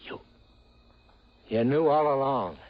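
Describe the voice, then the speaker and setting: Lively, hesitant smitten assassin, vintage radio. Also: male voice, medium pitch, slow. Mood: panicked. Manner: hesitant, stammering, earnest. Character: smitten, boyish male assassin from out of town. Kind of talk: 1950s radio drama acting. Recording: muffled, noisy old radio recording.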